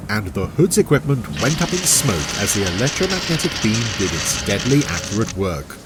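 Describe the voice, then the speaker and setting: A man narrating a scripted adventure story in a dramatic storytelling style. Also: medium pitch. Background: music and vehicle sound effects.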